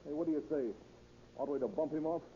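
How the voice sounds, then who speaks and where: An actor playing a brash, ruthless young escaped convict in a 1930s radio crime drama, curt and bossy with slangy talk. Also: male voice, on the high side, steady tone, fast, mellow, close to an everyday voice. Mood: callous. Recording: dull, noisy old 1930s radio recording.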